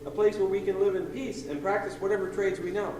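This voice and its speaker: A man playing a folksy, resigned pig ensign on a pirate ship, stuttering and halting, in old-time radio comedy style. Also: high voice, little pitch movement, lightly acted. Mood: wistful, resigned. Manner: folksy, halting, eager to comply. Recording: noisy, echoey old tape, distant mic.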